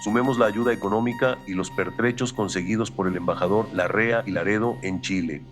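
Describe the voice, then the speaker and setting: An actor playing a sober male president addressing his ministers, formal and measured in a historical audio drama. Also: lowish voice, faint music behind, lightly acted. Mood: grave, resolute.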